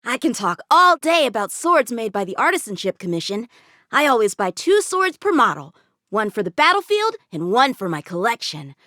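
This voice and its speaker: Eager, high-pitched boy swordsman. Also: sing-song, moderate pace, bright, forceful tone, dry recording, clean studio sound. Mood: eager, confident. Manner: earnest, cocky, youthful. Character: eager, confident teenage boy swordsman, a young lieutenant. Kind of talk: video game character voice lines.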